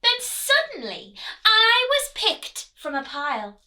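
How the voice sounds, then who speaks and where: A woman's voice: extremely high pitch, wildly swooping pitch, slow, sharp tone, voice pushed up, exaggerated voice. A woman voicing a small, self-important pea that earnestly tells its life story in a children's storybook. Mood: eager. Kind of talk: children's storybook read-aloud.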